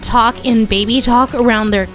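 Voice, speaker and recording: Podcast guest, woman, high voice, loose rambling delivery, faint music under her. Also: steady tone, normal speed. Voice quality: high-pitched voice. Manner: rambling, many fillers and restarts. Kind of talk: casual podcast chat.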